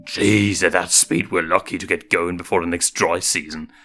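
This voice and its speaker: A man's voice: deep voice, fairly even intonation, moderate pace, sharp tone. A man acting young male lion cub, cocky, teasing, casual big-brother tone, storybook, music behind. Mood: joking.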